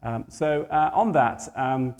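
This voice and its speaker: A man hosting an event, speaking informally and haltingly as he introduces the next speaker. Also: medium pitch, expressive, darkish tone. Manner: hesitant, halting.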